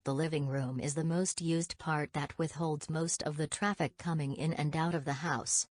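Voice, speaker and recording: A woman's voice: low-pitched, steady tone, normal speed, dry recording. A woman reading an expository passage aloud from a script, as for exam practice.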